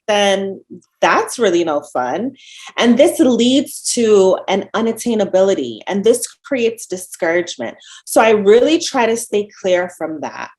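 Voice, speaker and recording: Jamaican-accented woman, dietitian presenter; friendly, lively teaching delivery. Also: medium pitch. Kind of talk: webinar presentation. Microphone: video call.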